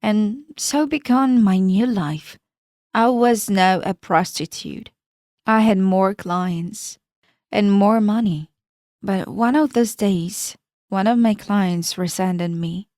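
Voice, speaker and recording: A woman's voice: medium pitch, slow pace, darkish tone, no echo, clean studio sound. A woman narrating a first-person life story in a plain, matter-of-fact reading.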